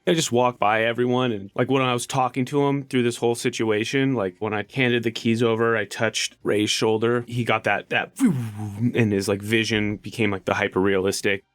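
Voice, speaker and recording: A man playing a tabletop game, casually and haltingly describing his character's actions as he pieces them together. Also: mid-range voice, steady tone, dry recording.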